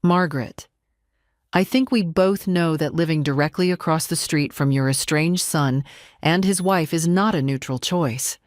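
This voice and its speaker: Woman as condescending, manipulative daughter-in-law, careful and deliberate. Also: low voice, no echo, clean studio sound, natural voice. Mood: cool, pressing. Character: manipulative daughter-in-law, smooth veneer over cold menace.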